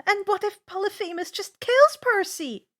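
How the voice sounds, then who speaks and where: Woman playing a high, fierce teenage girl. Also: lively intonation, moderate pace, a bit bright. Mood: alarmed, protesting. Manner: urgent, protesting, emphatic.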